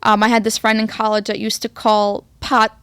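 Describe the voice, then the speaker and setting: A young woman from Egypt with a fairly high voice, talking casually as a podcast co-host. She speaks in a relaxed, unhurried way, pausing often while she finds her words. Her voice comes through close and dry, with no room sound. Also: clean tone, no room sound. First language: Arabic. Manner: relaxed, with long thinking pauses and fillers. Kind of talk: casual chat.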